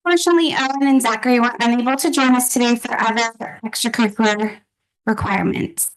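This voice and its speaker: A woman's voice: fairly high pitch, fairly even intonation, quick pace, rough recording. Encouraging woman introducing students. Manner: encouraging, with frequent fillers. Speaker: meeting presenter introducing scholarship students. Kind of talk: formal meeting introductions.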